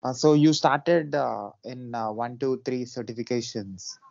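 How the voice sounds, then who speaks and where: A man interviewing a guest for a podcast, speaking politely and haltingly, often repeating his words. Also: mid-range voice, gravelly, a little mellow, no echo, highs cut off. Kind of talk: interview questions.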